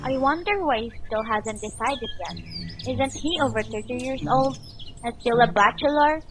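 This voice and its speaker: A woman's voice: on the high side, normal speed, background noise, natural voice. A young woman playing a concerned grown daughter in a radio drama, with an emphatic, questioning delivery. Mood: curious, concerned. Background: music and birdsong.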